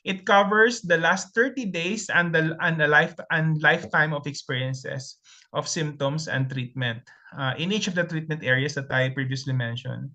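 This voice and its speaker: A man's voice: on the high side, moderate pace, sharp tone. A man from the Philippines with a Filipino accent, a native Tagalog speaker, presenting slides in a webinar in a methodical way with frequent ums and restarts.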